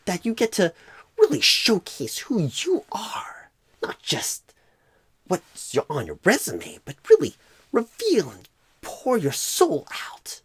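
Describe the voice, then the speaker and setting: Clueless recruiter, played by a man, ASMR roleplay: slow, husky, rambling, odd pauses and stresses. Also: high voice, no echo, exaggerated voice. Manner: halting phrasing with odd pauses and swooping stresses.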